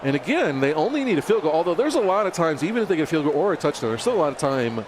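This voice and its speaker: A man's voice: on the high side, big pitch swings, very fast. A man doing color commentary for a simulated football game, chatty and rambling. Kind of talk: sports broadcast commentary, banter. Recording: loud crowd and noise bed. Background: loud crowd ambience.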